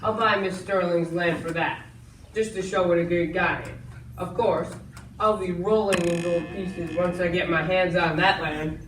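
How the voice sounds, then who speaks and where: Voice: mid-range voice, steady tone, moderate pace, lightly acted. Scheming swindler played by an amateur actor, stage melodrama, falsely genial, theatrical, distant, echoing room. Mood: smugly threatening. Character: smooth-talking scheming villain swindler, fake government man in top hat. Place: school stage, far camera in audience. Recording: echoey hall from far off, noisy.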